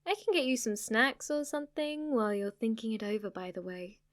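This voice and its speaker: A woman's voice: on the high side, expressive, fairly quick, close to an everyday voice. A woman playing a smug, clingy, lovestruck witch in an ASMR roleplay, teasing and coy as she speaks to the listener. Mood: playful, eager. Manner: teasing, coy, overconfident, with giggles and asides.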